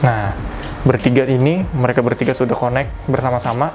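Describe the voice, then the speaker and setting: A man's voice: mid-range voice, level tone, fairly quick. A young man gives a casual step-by-step tutorial in a conversational, colloquial way, often correcting himself. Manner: conversational, repeats colloquial tags and self-corrects. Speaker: product reviewer giving a tutorial. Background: music.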